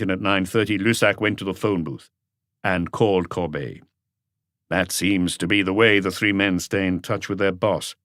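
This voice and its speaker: A man voicing a composed, seasoned police detective who speaks in a measured, matter-of-fact way in a crime audiobook. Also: low-pitched, a bit bright, no echo, clean studio sound. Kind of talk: audiobook character voice.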